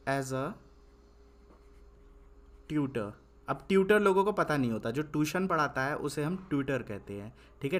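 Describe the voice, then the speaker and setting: A young man teaching English basics in Hindi, asking and answering his own questions and dictating slowly while he writes. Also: on the high side, a bit bright. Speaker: English tutor. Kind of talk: spoken English lesson with writing on a board.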